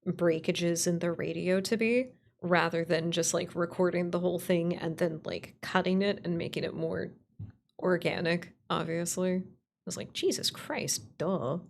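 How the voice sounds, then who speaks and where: A woman's voice: lowish voice, gravelly, a little hiss or hum. A woman livestreamer chatting casually and playfully with viewers, rambling and swearing as she goes. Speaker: streamer, writer and voice actor.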